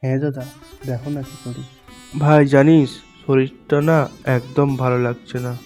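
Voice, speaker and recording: A man's voice: mid-range voice, level tone, moderate pace, mellow, faint music behind, lightly acted. A man playing a sly, ingratiating middle brother who shirks work, in a cartoon village family drama.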